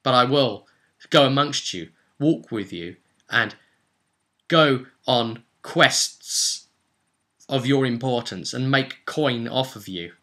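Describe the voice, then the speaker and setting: A man voicing an embittered, vengeful male elf loner in a tabletop fantasy game, solemn and deliberate. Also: mid-range voice, bright, forceful tone. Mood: brooding, threatening.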